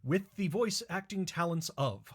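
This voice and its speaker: A man's voice: high-pitched, lively intonation, normal speed, husky. A man co-hosting a podcast, bantering in an upbeat, playful way. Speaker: podcast co-host.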